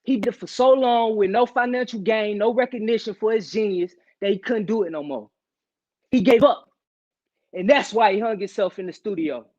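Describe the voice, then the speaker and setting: A man's voice: normal speed, a little mellow. A man auditioning with an earnest, emphatic dramatic monologue as someone grieving a friend's lost ambition. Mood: pained, earnest, then anguished. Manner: emphatic, earnest, high-energy delivery. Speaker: auditioning actor. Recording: dull lo-fi online call.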